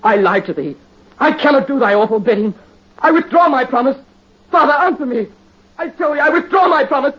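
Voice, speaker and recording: A man's voice: extremely high pitch, animated, a little fast, dull audio. A radio actor playing a tormented young nobleman in 1930s radio drama style, anguished and pleading, on an old recording.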